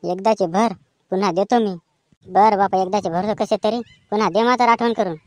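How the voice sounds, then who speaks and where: A woman's voice: medium pitch, fairly even intonation, brisk, a little mellow, no room sound. A woman with a rural Maharashtra accent performing colloquial village banter in comic cartoon style. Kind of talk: rustic comic cartoon dialogue.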